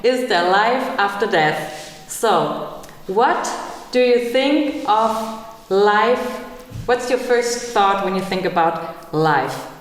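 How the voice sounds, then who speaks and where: A woman's voice: medium pitch, lively intonation. A woman in her thirties from Germany, hosting a podcast in English and asking her guests questions. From Germany, Hamburg. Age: 30s. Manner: asks questions, slow and searching. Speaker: podcast host. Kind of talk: casual discussion. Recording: roomy hall, echoey.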